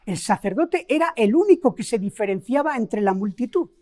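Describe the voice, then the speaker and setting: Animated Spanish woman preaching. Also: fairly high pitch. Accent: Spain Spanish. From Spain. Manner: animated, rhetorical questions to the congregation; emphatic, slows down and stresses key words. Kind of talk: sermon.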